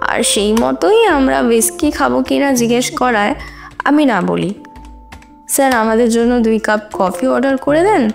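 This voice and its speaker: A young female student gives testimony in a courtroom audio drama. Her voice is high and earnest, deliberate and heavy with contained distress, with music underneath. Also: darkish tone. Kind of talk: Bengali audio drama acting.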